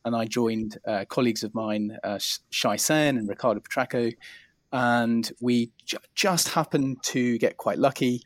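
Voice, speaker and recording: A man's voice: mid-range voice, moderate pace, no room sound. A middle-aged man with a southern English accent, telling his career story in an interview in a polite, modest, hesitant way. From England, near London. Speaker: interview guest, cardiologist.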